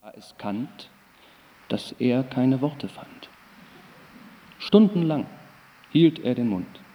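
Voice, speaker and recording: A man's voice: mid-range voice, big pitch swings, slow, dull audio. A man on stage reciting humorous verse. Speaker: stage performer. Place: small broadcast hall, public event. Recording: reverberant hall, voice off mic.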